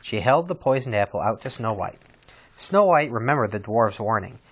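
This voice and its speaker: A man reading a children's storybook aloud as storyteller, with a dramatic, expressive delivery. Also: fairly low pitch, moderate pace.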